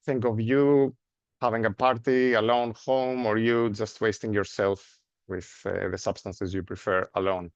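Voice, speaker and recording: A man with a Greek accent giving an academic seminar lecture. He speaks in a measured way, with frequent "uh" fillers and a rhetorical "no?" at the end of points. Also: fairly low pitch, fairly even intonation, no room sound. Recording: dry, dull lo-fi sound.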